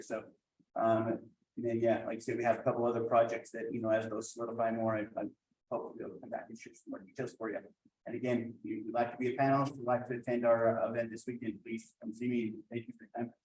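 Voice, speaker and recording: A man giving a housing project update at a member meeting, halting with frequent restarts. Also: mid-range voice, a little mellow. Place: meeting room. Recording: roomy echo.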